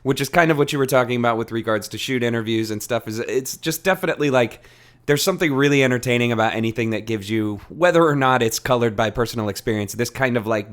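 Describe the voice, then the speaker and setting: A man's voice: lowish voice, a bit bright. Easygoing slangy man co-hosting a discussion. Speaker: podcast co-host. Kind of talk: casual book discussion.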